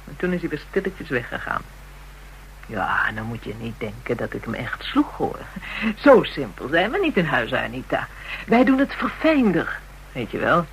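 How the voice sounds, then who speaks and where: Husky, brash Dutch woman. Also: lowish voice, heavily acted, poor audio. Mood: brazen, boastful. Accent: Dutch (Netherlands). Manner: brash, slangy, mocking. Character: hardened, jealous, taunting woman dealing in kinky services. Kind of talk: radio drama acting.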